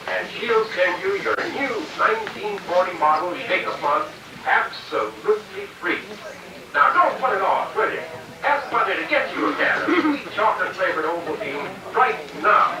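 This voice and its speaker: Man playing an excitable high-voiced 1940s radio pitchman. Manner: gushing, emphatic, folksy exclamations. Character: excitable, wholesome 1940 radio pitchman selling to kids. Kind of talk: 1940s radio advertising, performed live. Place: theatre stage, live radio re-creation. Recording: echoey far-off stage recording with audience.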